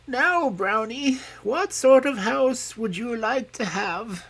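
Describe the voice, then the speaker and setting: A reader voicing an old, feeble mother pig who is loving and anxious, in a slow, earnest storybook telling. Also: medium pitch, animated, fairly bright tone, breathy edge, voice pushed up, heavily acted. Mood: tender, worried. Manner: slow, tender, earnest pleading. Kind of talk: storybook reading.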